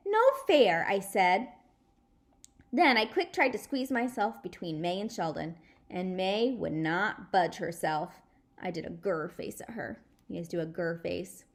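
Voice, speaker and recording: A woman reading a children's story aloud in an expressive, animated storyteller manner. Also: mid-range voice. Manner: animated, acts out dialogue. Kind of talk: expressive children's chapter book read-aloud.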